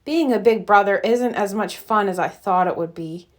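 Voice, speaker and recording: A woman's voice: mid-range voice, moderate pace, lightly acted. A woman voicing an eager, earnest young boy bear in a children's storybook. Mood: dejected. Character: eager, excitable young boy bear, proud then upset.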